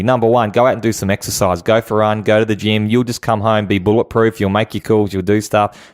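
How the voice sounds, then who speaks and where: A man with an Australian accent talks in a fairly low voice, recorded very dry. He gives practical advice in a conversational style, as a coach would in an interview. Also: dry recording. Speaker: guest, home-business coach.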